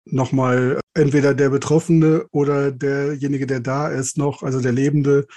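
A man's voice: medium pitch, flat delivery, moderate pace, warm tone. A man hosting a podcast, interviewing in a casual, conversational style.

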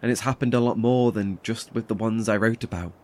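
An actor playing a weary, anxious survivor in a horror audio drama, speaking haltingly with sighs and nervous chuckles. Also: male voice, on the low side, fairly even intonation, a little mellow, natural voice. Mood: subdued, confessional. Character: weary, anxious male survivor, wry and haunted.

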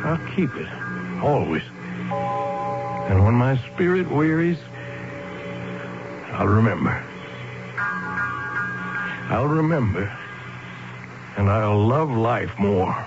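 An actor playing a weary, bewildered husband in a 1970s radio drama, speaking in a halting, dramatic, reflective way. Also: male voice, medium pitch, very animated. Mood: awed, reflective. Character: weary, bewildered husband shaken by a strange discovery. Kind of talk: 1970s radio drama acting with first-person narration. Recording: dull vintage radio broadcast with loud music.

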